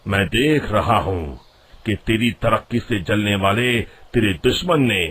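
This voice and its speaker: A man's voice: lowish voice, expressive, measured pace, fairly bright tone, a little hiss or hum. A man voicing a sly, pompous village holy man in a cartoon moral story. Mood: solemn, scheming. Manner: pompous, chanting, addresses listeners as a child.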